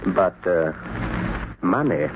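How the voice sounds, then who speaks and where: An actor playing a suave, sinister doctor who runs a spy ring, measured and politely menacing, in 1930s radio drama style. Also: male voice, deep voice, animated. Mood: smug, deliberate. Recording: noisy, narrow-band 1938 radio broadcast.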